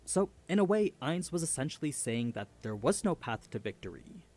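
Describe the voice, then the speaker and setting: A man's voice: fairly high pitch, sing-song, moderate pace, darkish tone. A man delivering a scripted story recap as a narrator.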